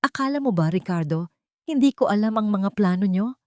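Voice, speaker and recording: A woman's voice: medium pitch, lively intonation, normal speed, no echo, studio quality, pitched above the performer's own voice, lightly acted. A woman voicing a cold, vengeful, wealthy businesswoman in an audio drama, commanding and taunting. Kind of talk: dramatic audio story dialogue.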